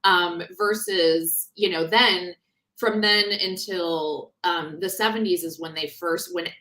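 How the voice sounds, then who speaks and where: A woman author talking in a conversational interview, explaining things in an animated, informal way. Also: fairly low pitch, slow. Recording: roomy, echoing sound.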